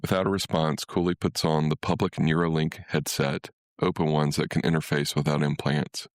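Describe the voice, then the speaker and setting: A man's voice: extremely low pitch, flat delivery, moderate pace, dry recording, clean studio sound. A man reading a literary short story as scripted narration.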